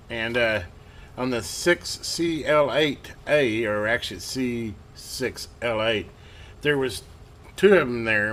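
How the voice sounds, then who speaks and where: A man giving casual, unscripted workbench narration as a hobbyist, folksy and colloquial, thinking aloud with halting pauses. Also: mid-range voice, fairly bright tone. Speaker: hobbyist host restoring and testing old radios. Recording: clear background noise, amateur home recording.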